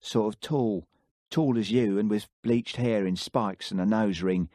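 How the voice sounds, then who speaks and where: A man's voice: lowish voice, measured pace, mellow, no room sound, clean studio sound, close to an everyday voice. A man reading aloud as a sulky, wary young man with an English accent. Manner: sulky, mumbling.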